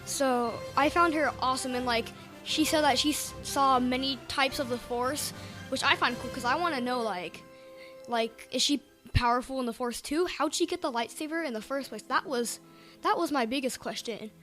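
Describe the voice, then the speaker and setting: A woman with a highish voice gives her take in casual fan chat. She speaks haltingly, doubling back on phrases and leaning on filler words. Soft music runs underneath. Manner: halting, repeats phrases, heavy use of "like". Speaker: podcast panelist.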